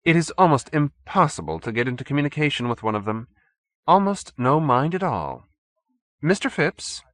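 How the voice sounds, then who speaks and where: Man voicing a haughty, menacing cult chairman, pitched high. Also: sing-song, moderate pace, a bit bright, dry recording. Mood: impatient. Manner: pompous, stern, sneeringly courteous. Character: haughty, urbane, menacing male leader of a cult. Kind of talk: audiobook character acting.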